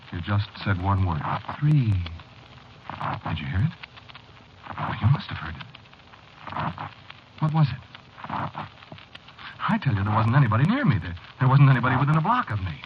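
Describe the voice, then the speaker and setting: A haunted, nervous fellow confiding in halting phrases. His voice sits low, in the style of a 1940s radio play. The recording is muffled and clearly noisy, like an old broadcast. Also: very animated. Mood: agitated, fearful. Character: anxious, haunted man named Sebastian confessing a premonition. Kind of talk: 1940s radio drama monologue acting.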